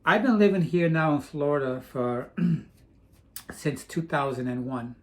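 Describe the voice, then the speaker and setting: A man's voice: fairly high pitch, measured pace. A man from Portugal chatting casually in a vlog, rambling with frequent restarts. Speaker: vlogger. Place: home in Florida.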